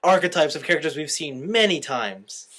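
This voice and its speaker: A man's voice: on the high side, expressive, moderate pace, sharp tone. A man co-hosting a podcast, chatting casually and enthusiastically with strong opinions as a fan. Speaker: podcast co-host. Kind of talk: casual fan chat about anime.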